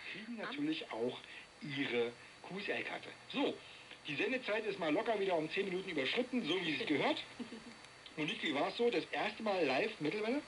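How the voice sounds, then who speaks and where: A man's voice: sits high, lively intonation, moderate pace, a bit bright, a little hiss or hum, rough recording. A man hosting a casual radio talk, heard through a shortwave radio receiver. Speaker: radio host. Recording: shortwave radio broadcast picked up on portable receiver.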